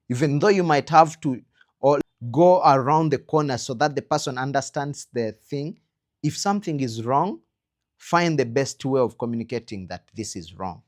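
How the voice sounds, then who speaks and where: A man with a Ugandan accent giving an instructional talk as a trainer, earnestly advising with rhetorical questions and emphatic pauses. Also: on the high side. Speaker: trainer in creative production quality control.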